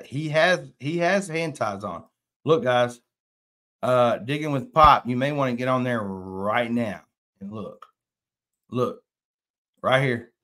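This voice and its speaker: A man's voice: mid-range voice, fairly bright tone. A man, casually hosting a fishing live stream and reading viewer comments aloud from a small indoor room. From Texas. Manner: chatty, drawling, reads viewer comments aloud. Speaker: fishing channel host. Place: indoor room, plain wall.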